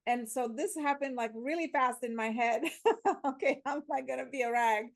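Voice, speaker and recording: A woman's voice: high-pitched, normal speed, creaky. Woman telling her story. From Slovakia. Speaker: interview guest, theater teacher. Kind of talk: conversational personal storytelling.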